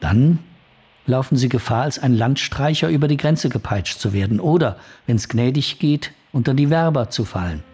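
An actor playing an elderly, stern but decent magistrate in a German radio play, husky and measured. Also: male voice, medium pitch, expressive, a little mellow. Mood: conciliatory, measured. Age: older. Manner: official, measured.

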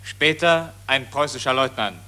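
A man's voice: mid-range voice, a little slow, sharp tone. A man working as a radio play narrator, reading out scripted scene announcements.

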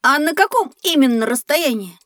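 A woman's voice: high-pitched, moderate pace, no room sound. A woman voicing a naive, curious young schoolboy who tends to whine, in an educational audio play. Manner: childlike, earnest, expressive. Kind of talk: scripted educational audio drama.

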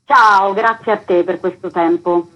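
A woman's voice: mid-range voice, fairly even intonation, unhurried, fairly bright tone, muffled recording. A woman explaining things earnestly and emphatically as an interview guest. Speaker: guest, theatre author and actress.

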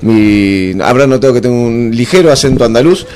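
A man's voice: mid-range voice, brisk, darkish tone, a little hiss or hum. A young Argentine man with an Argentine accent, rambling and self-mocking as he hosts a comedy talk show with many fillers. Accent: Argentine Spanish. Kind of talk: casual comedic banter.

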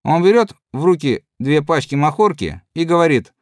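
Lively, dry-voiced reader doing a rambling, good-natured soldier spinning a yarn for his mates, read by a man. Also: on the high side, moderate pace, dry recording. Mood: chatty. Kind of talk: audiobook reading.

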